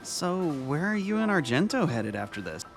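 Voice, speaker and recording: Audio story role: male actor as gentle ex-vice-principal, reassuring, expressive swings in pitch, music underneath. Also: moderate pace, creaky. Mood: warm, gentle. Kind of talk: dramatic audio story reading.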